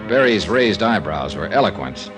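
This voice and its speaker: Wry, animated American radio detective. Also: male voice, deep voice, moderate pace, music in the background. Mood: wry narration. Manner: wry, wisecracking. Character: wry, cocky freelance insurance investigator, adult man. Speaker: radio drama lead and narrator. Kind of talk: 1950s American radio detective acting, first-person narration.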